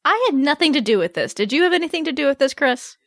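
A woman's voice: high voice, animated, quick pace, a bit bright, a little hiss or hum. A woman hosting a fan podcast in casual chat, with a playful, teasing manner. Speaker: podcast host.

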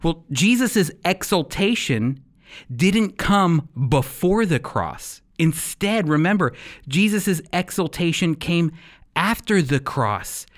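American male Bible teacher, slightly high register, very lively intonation, emphatic and deliberate sermon delivery. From United States. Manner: emphatic, deliberate phrasing with rhetorical questions. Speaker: Bible teacher and radio program host. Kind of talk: sermon-style Bible teaching with scripture reading.

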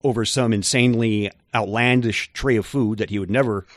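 A man co-hosting a podcast, explaining things in a measured way with occasional hesitant fillers. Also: on the low side, fairly even intonation. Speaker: podcast co-host. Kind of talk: casual chat.

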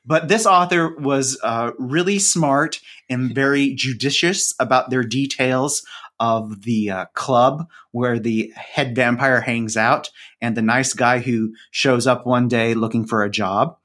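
Man chatting enthusiastically in measured phrases. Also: medium pitch, fairly bright tone. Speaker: podcaster, interview guest. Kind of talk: conversational podcast interview.

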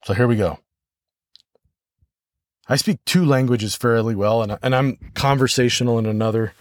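An American man hosting a podcast solo, talking reflectively and haltingly as he thinks aloud. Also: medium pitch, dry recording, clean studio sound. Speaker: podcast host.

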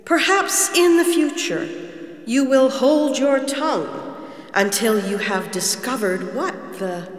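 An actor voicing a stern, scolding spirit in a radio drama, grave and measured, with echoing reverb. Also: high voice. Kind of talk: family radio drama acting.

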